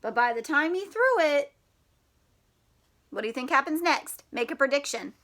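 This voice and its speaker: Bright, animated high-pitched woman reading aloud. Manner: expressive, drawn-out words and sound effects. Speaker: teacher reading a children's picture book aloud. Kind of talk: animated storybook read-aloud with questions to children.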